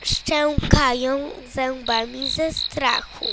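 High, theatrical storybook cat. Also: male voice, steady tone, extremely slow, heavy background noise, exaggerated voice. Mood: indignant, boastful. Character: storybook cats, grumpy hunter and eager kitten.

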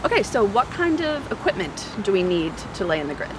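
A woman's voice: medium pitch, sing-song, brisk, noisy background. A woman in her thirties presenting to camera and interviewing casually outdoors as a host. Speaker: host interviewer at an archaeological dig. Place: outdoors on a grassy farm.

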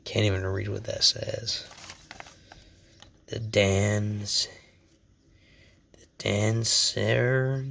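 A man's voice: fairly low pitch, steady tone, extremely slow, gravelly, long pauses, a bit bright. A young man hosting a casual show-and-tell vlog at home, a little sick, rambling and self-deprecating, often dropping into whispers. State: sick, voice slightly off. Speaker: channel host.

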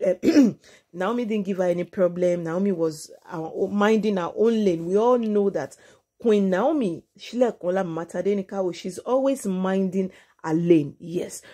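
A woman's voice: medium pitch, measured pace, mellow. A woman with a Nigerian accent giving informal celebrity gossip commentary as an online channel host, mixing English and Yoruba. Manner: switches between English and Yoruba.

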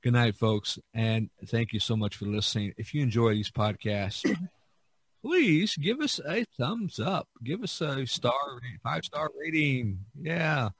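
A middle-aged or older man hosting a casual podcast, talking in a halting, rambling way with many pauses. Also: mid-range voice, animated, breathy edge, no room sound. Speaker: podcast host.

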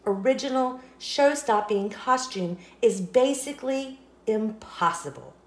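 A woman reading a children's storybook aloud with expressive narration, recorded with roomy echo. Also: fairly high pitch, measured pace.

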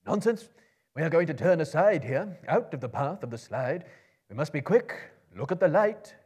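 A commanding old wizard from a fantasy storybook, as a male reader plays him. He is urgent and emphatic, with a husky voice thrown high and wide-swinging. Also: sing-song, moderate pace. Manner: loud, emphatic calls.

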